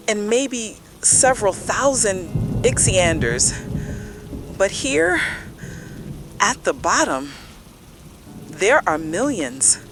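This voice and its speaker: A woman playing a deep-sea explorer reporting by radio in an apocalypse drama, speaking in halting, broken phrases with desperate awe. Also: fairly bright tone. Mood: pleading, grave.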